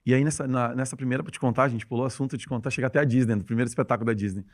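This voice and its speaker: A man's voice: medium pitch, steady tone. A man with a gaúcho Brazilian accent, a dance teacher telling stories with enthusiasm as a podcast guest. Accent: Gaúcho Brazilian Portuguese. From Rio Grande do Sul, Brazil. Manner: enthusiastic, rapid storytelling. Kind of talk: casual interview chat.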